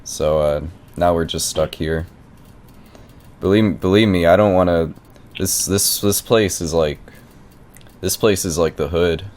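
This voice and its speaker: A low-pitched male squatter tenant, played by a man, stubborn and clueless. He rambles and argues with naive insistence in an improvised prank phone conversation. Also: a little slow, long pauses, darkish tone. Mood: rambling, aggrieved. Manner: rambling, argumentative, feigned naivety. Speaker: prank caller.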